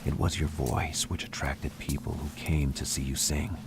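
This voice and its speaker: A brooding, haunted narrator speaks in an extremely deep, flat monotone. His delivery is slow and somber, now and then sinking to a whisper. Clear ambient noise sits behind the voice. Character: brooding, haunted man lost in a dark mansion. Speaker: game protagonist narrator. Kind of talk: scripted game narration.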